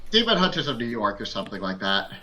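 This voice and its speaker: A man's voice: lowish voice, sing-song, fast, pushed and bright. A man bantering casually as a player in a tabletop role-playing game. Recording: music behind the voice.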